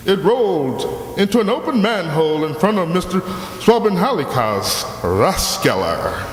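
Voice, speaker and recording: Pompous boozy braggart played by a man, slow florid drawl with huge pitch swings, radio comedy. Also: sing-song. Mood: boastful. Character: boastful, big-nosed, tippling old-style comic golfer. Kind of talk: old-time radio comedy acting. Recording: echoey hall, noisy background.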